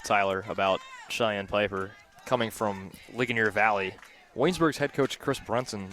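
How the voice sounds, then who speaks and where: A man working as a color commentator, giving conversational analysis during a live softball radio broadcast. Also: lowish voice. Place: outdoor softball game.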